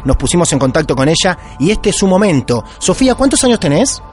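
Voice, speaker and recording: Lively Argentine man hosting a mystery podcast. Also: fairly high pitch, soft background music. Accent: Argentine Spanish. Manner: lively, drawn-out welcoming delivery. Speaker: podcast host. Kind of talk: casual paranormal podcast interview.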